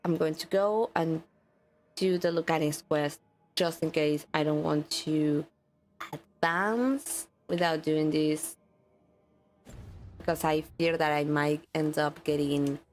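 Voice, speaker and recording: A woman streamer with a stuffy nose, chatting casually with viewers while playing a game. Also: low voice. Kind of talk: live gaming stream chat.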